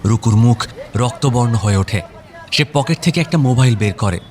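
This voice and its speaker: A man's voice: medium pitch, moderate pace, a little mellow, big-room sound. A man giving dramatic scripted narration as the storyteller of an audio drama.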